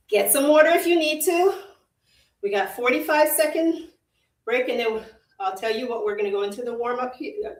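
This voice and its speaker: Jamaican woman coaching a workout, roomy. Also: on the high side. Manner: encouraging, repeats short cues, laughs easily. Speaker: fitness instructor. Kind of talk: live virtual workout coaching. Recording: roomy, distant from the mic.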